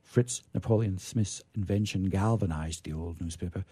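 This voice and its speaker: A man giving a dramatic story reading in a formal, oratorical manner, with measured, theatrical phrasing and emphatic pauses. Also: low-pitched, warm tone, breathy edge, no room sound. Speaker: reader at a literature reading. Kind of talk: dramatic reading of a vintage science fiction story.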